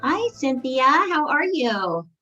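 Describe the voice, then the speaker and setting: A woman with a fairly high, lively voice hosts an interview, eager and quick with upbeat affirmations. The audio is muffled and lo-fi. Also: faint music behind. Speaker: podcast host. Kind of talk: casual interview.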